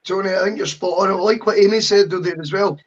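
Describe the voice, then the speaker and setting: High-voiced man, chatty podcast host. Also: steady tone, fast talker. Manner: talkative, opinionated, jokey. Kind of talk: casual football podcast chat. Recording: dull lo-fi audio.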